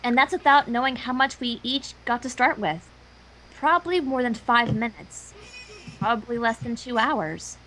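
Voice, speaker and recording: A woman reading character lines aloud amid casual banter, lively and sometimes tripping over words. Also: fairly high pitch, normal speed, slight background noise, poor audio. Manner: animated, stumbles over words. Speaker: fan fiction co-reader.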